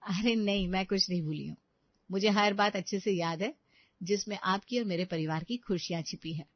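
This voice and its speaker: A woman's voice: medium pitch, moderate pace, no echo, natural voice. Woman doing kindly middle-aged mom in a family story, coaxing, dry slightly dull sound. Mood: content. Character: kindly, soothing middle-aged wife and mother.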